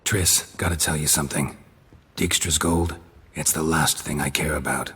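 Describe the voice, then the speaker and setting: Very deep, gruff monster hunter. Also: male voice, moderate pace, big-room sound, full character voice. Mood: subdued. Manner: terse, clipped, deadpan. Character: gruff, stoic, blunt male monster hunter. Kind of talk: video game voice acting.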